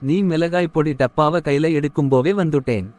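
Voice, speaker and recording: A man voicing a stern husband who moralizes in an animated family short. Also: a little high, brisk, dry recording, lightly acted. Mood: accusing, stern. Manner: moralizing, instructive. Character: stern, preachy husband admonishing his jealous wife.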